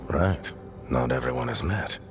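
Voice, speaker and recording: A man's voice: rumbling low, measured pace, over music. An actor playing a wry, fatherly older mentor in a video game, speaking in a knowing, reassuring way. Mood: matter-of-fact. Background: game score.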